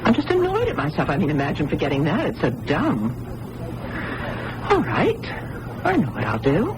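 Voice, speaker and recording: Casting: petulant amnesiac young woman, girlish, blunt, lively swoops, old radio drama with clear hiss. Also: deep voice, normal speed. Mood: petulant, breezy. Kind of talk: 1980s radio drama acting. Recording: noisy old radio broadcast recording.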